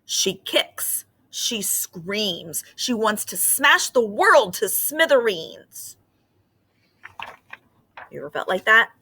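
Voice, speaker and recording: A woman teacher explaining reading ideas to children in a gentle, patient way with frequent fillers, then reading a picture book aloud expressively. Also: medium pitch, very animated, slow pace, slightly rough.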